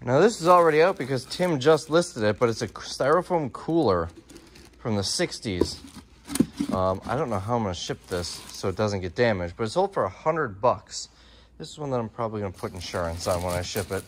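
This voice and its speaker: A man's voice: mid-range voice, expressive, brisk, noisy background. Casual man thinking aloud. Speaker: online reseller vlogger. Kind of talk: casual vlog chat. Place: large warehouse and storage room, indoors. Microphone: phone at arm's length.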